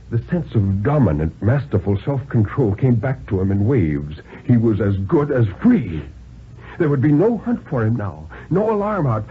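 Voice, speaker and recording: A man gives a theatrical, deliberate dramatic reading of a story in 1950s radio style, with expressive pauses. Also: mid-range voice, narrow, tinny audio, slight background noise. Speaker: narrator reading a thriller story. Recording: band-limited vintage 1950s radio broadcast.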